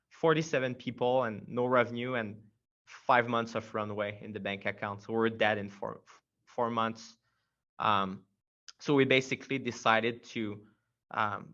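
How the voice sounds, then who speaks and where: A man's voice: fairly low pitch, pushed and bright, lo-fi sound. A man from Quebec with a strong Quebec French accent, a startup founder telling his story in a casual interview, rambling with many fillers and restarts.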